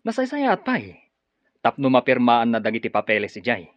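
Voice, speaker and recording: A man's voice: medium pitch, huge pitch swings, moderate pace, no room sound. A man with an Ilocano Filipino accent acting a sly, impatient schemer in a radio drama. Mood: pushy, irritated. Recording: dull lo-fi radio, music behind.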